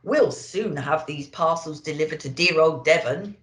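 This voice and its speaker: A woman voicing a jolly old Santa with a booming, hearty manner in a storybook reading. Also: moderate pace, a bit bright, reverberant. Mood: merry. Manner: chuckling, blustering.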